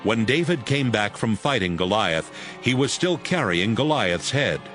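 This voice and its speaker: A man's voice: fairly low pitch, big pitch swings, a little slow, a bit bright. A man narrating a dramatized audio Bible as scripted storytelling. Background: music.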